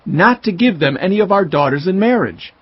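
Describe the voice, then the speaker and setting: A man's voice: high voice, lively intonation, fairly quick. A man acting a grim Israelite who speaks for the assembly in a declamatory dramatised scripture reading. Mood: troubled, urgent. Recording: dull lo-fi sound, faint noise.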